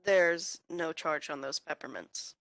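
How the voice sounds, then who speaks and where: An actress playing a chatty, careless small-town druggist in a 1940s-style radio play. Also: female voice, sits low, unhurried, a bit bright, no echo, poor audio. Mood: uneasy, apologetic.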